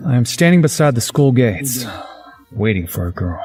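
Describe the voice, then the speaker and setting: A man reads as a young visual novel narrator with a husky voice and a lively, swinging pitch. Faint music plays underneath the lines. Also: normal speed. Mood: wistful.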